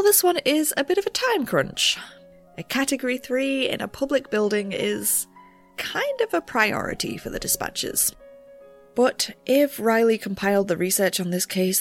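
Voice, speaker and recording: An actress playing an eager, nervy young amateur ghost researcher, talking in wry, rambling audio notes for an audio drama. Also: female voice, mid-range voice, close to an everyday voice. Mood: hurried, excited. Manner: rambling, wry, animated asides.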